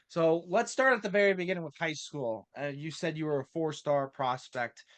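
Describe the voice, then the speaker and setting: A man's voice: fairly high pitch, normal speed, dry recording. A man hosting a casual sports interview, asking informal, loosely strung-together questions. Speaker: interviewer, host.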